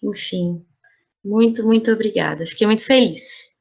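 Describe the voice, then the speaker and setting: A woman's voice: medium pitch, normal speed, creaky, darkish tone. A Brazilian woman speaking as a guest in a casual podcast conversation, with a Brazilian Portuguese accent. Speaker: interview guest, playwright.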